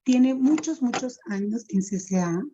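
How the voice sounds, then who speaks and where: A woman moderating a support group meeting, reading the opening statements and prayer in a measured, formal way over a video call. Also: on the high side, lively intonation, warm tone, slightly rough, muffled recording.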